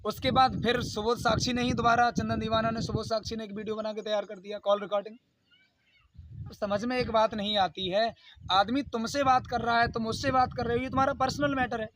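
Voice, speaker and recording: A man's voice: very high-pitched, little pitch movement, a little fast, fairly bright tone, low-quality recording. A man giving an unscripted video statement, speaking in an aggrieved, insistent, pleading and repetitive way. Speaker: YouTube channel host.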